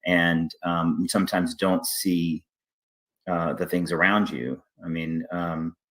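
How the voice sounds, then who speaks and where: A man hosting a casual interview, speaking haltingly and often restarting his sentences. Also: low voice, steady tone, a bit bright. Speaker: interview host, theater artistic director.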